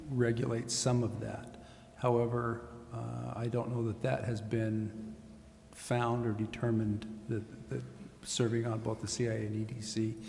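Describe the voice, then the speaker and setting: A man speaking as a city official at a public meeting in a formal, measured and hesitant way, choosing his words carefully. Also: mid-range voice, creaky, darkish tone. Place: large indoor council chamber. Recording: echoey meeting chamber, faint hiss.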